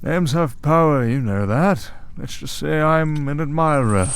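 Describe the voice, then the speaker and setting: A man's voice: a little high, expressive, a little slow, creaky, a little hiss or hum. A man playing a suave villain mastermind in an audio roleplay, taunting with courteous, theatrical menace. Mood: smug.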